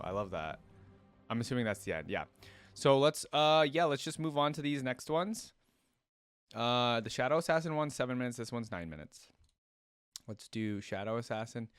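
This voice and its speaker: A man hosting a reaction video, musing aloud in casual, conversational commentary. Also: mid-range voice. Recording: game music behind the voice. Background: music from the game video being watched.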